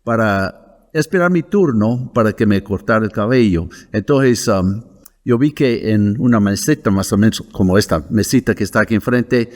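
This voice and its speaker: An older man from Wisconsin with an American English accent, a missionary guest who recounts his personal testimony in a measured way, pausing often with fillers. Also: fairly low pitch, a little mellow, in a large hall. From United States (Wisconsin).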